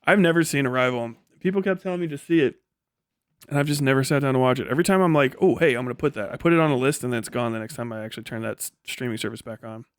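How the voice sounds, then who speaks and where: Male stream host, mid-pitched, blunt and profane, off-the-cuff opinions, very little room sound. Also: very fast, clear, resonant tone, no echo, clean studio sound. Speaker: livestream host reading and answering chat. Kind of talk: casual, unscripted livestream commentary.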